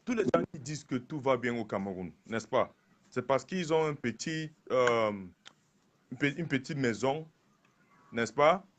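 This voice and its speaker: A man's voice: mid-range voice, expressive, a little slow. A man calling in to a live stream, pressing his point and interrupting with repeated words. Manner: insistent, interrupts, repeats himself. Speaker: caller. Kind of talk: call-in conversation.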